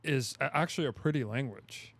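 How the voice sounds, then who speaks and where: A man's voice: medium pitch, fairly quick, dry recording. A man co-hosting a casual podcast, argumentative and insistent, joking between points. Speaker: podcast co-host. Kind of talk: casual chat.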